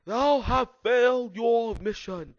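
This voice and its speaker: A man voicing an evil, menacing dark wizard villain with a raspy voice and archaic speech, in a theatrical story reading. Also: very high voice, takes it slowly, dry recording, highs cut off, pitched above the performer's own voice, exaggerated voice. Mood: commanding shouting. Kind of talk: theatrical fan-fiction reading.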